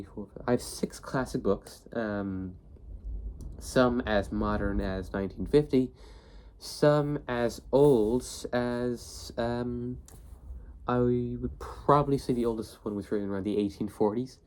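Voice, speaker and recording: A man's voice: mid-range voice, unhurried, a little mellow. A young man with a cold, sniffly, hosting a casual book video, rambling with many fillers and restarts. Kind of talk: casual talk to camera.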